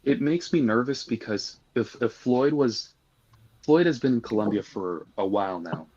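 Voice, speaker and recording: A man's voice: mid-range voice, expressive, moderate pace, a little mellow, slightly rough, muffled recording. A man giving earnest, cautionary advice in a casual discussion. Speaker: guest.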